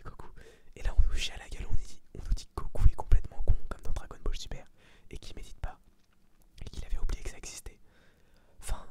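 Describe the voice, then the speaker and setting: An eighteen-year-old man whispering a casual, opinionated ASMR review in slangy, crude, informal language. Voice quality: breathy unvoiced whisper. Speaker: ASMR video creator giving a film review.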